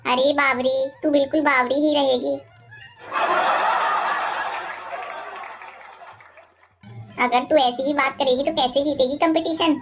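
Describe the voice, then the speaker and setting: Woman playing a high-pitched scheming mother-in-law. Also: fairly even intonation, fairly quick, music in the background. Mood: scolding. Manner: bossy, scolding, name-calling. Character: scheming, scolding mother-in-law villain, middle-aged. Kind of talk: animated moral-story dialogue.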